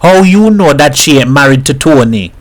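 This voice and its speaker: A man voicing a gruff, teasing man in a story reading. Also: a little high, animated, moderate pace.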